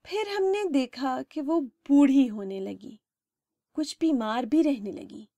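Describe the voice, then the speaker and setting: A woman storyteller narrating a children's story in an expressive way. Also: sits high, no room sound, clean studio sound.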